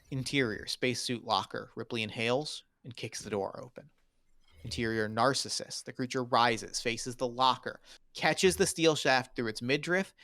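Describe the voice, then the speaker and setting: A man narrates a screenplay as the reader of its stage directions. His voice sits at a middling pitch. The sound is dry, with very little room in it. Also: fairly bright tone, dry recording.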